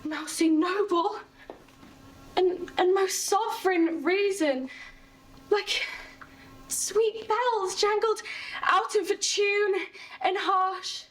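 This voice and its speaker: A woman performing a dejected young noblewoman's Shakespearean lament, halting and sorrowful, recorded at a distance from the microphone. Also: extremely high pitch, steady tone, heavily acted. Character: dejected, wretched young noblewoman mourning a fallen mind.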